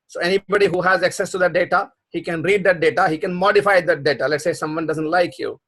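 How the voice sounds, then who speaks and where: A man's voice: sits high, fairly even intonation, fast, fairly bright tone. A middle-aged man teaching a programming class over a video call, explaining patiently with many restarts. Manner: explanatory, repeats himself, frequent restarts and fillers. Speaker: programming instructor. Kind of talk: live online class explaining concepts.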